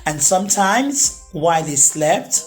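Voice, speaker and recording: A woman narrating a children's storybook as a storytime host, with expressive, deliberate phrasing for young listeners. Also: lowish voice, faint music behind. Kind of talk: children's storybook read-aloud, rhyming narration.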